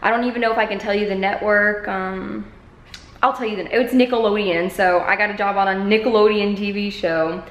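A woman's voice: medium pitch, steady tone, brisk, lo-fi sound. A woman in her twenties chatting casually and informally to camera as a vlogger, holding it at arm's length indoors. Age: 20s. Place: medium-sized living room indoors. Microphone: camera held at arm's length. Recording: echoey bare room.

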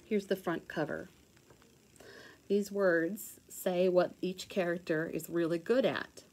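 A woman teacher reading a children's storybook aloud in an expressive sing-song way with clear emphasis. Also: lowish voice, takes it slowly.

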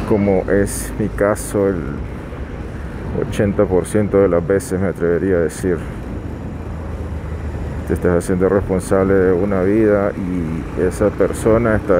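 A man calmly narrating a motorcycle vlog while riding, giving unhurried advice with some restarts. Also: low voice. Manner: calm, advising, unhurried with restarts. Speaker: motovlogger. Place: riding a motorcycle on a highway outdoors. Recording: road and wind noise.

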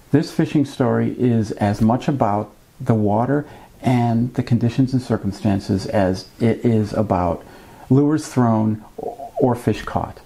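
A man in his fifties hosting a fishing vlog, explaining things to camera in a conversational, measured way with wry asides. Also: mid-range voice, vocal fry, mellow. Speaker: fishing channel host. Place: small indoor room at a desk with a computer.

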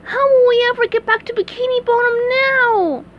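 A woman voicing an eager, clueless, excitable cartoon sponge boy in a storybook reading, with noise behind the voice. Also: extremely high pitch, takes it slowly, muffled recording, pitched above the performer's own voice, full character voice. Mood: urgent.

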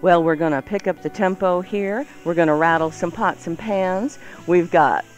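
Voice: mid-range voice, moderate pace, muffled recording, soft background music. A playful, teasing radio co-host who chats, jokes and reads out items for listeners. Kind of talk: chatty, joking radio banter and item reading.

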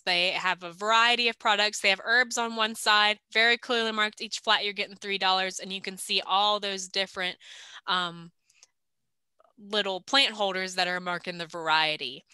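A young woman talks through a training slideshow in a practical, chatty way, often pausing on "um." Her voice is bright and smooth. The sound is dry and close, picked up on a webcam mic. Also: mid-range voice, no room sound. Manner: practical, conversational, with frequent "um" fillers. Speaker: extension agent giving vendor training. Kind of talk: recorded online training presentation over slides. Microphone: video call webcam.

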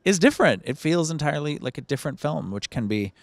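A man's voice: on the high side, quick pace, creaky, dry recording. Candid man in a festival lobby. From Canada. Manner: candid, animated, gesturing as he talks. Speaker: film director. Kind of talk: casual panel conversation. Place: large bright festival lobby. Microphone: handheld mic.

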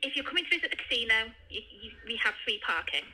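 A woman's voice: a little high, very animated, very fast, sharp tone, a little hiss or hum. A woman working as a receptionist, speaking in a firm, matter-of-fact customer service manner over a phone call. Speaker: casino receptionist.

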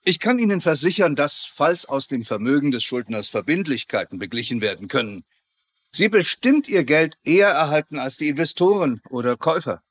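An actor playing a formal, wry lawyer addressing creditors in a TV crime drama. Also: male voice, fairly high pitch, sing-song, a little fast, fairly bright tone, dry recording. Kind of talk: German-dubbed television crime drama.

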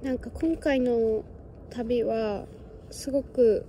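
A woman's voice: fairly high pitch, steady tone, takes it slowly, dark tone, a little hiss or hum. A young woman from Japan in her twenties chatting casually to her phone camera on an outdoor hilltop, musing aloud as she goes. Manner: chatty, musing, talks to birds and cats in a sing-song voice. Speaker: travel vlogger. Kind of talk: casual vlog chat to camera. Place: outdoors on a windy hilltop fortress. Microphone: phone camera close by.

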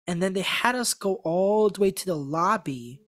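A man's voice: high-pitched, normal speed. A young man of Korean background, a podcast guest, telling a story in casual talk full of restarts, close to a studio mic in a small room. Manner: animated storytelling with many restarts. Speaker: podcast guest, former contestant. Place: small room with a gray backdrop. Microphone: studio mic on a stand in front.

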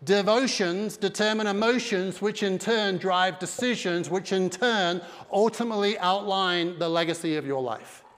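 A middle-aged pastor preaches with emphatic energy, his voice pitched high for a man. He speaks into a headset mic, and the big church hall rings with a long reverb behind him. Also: normal speed, few pauses, a bit bright. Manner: emphatic preaching with broad hand gestures. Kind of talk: sermon. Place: large dark church stage.

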